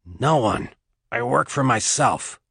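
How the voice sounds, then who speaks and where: A man's voice: medium pitch, animated, slow pace, creaky, a bit bright, husky, dry recording, studio quality, exaggerated voice. Man voicing a wry, defiant captive man. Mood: terse.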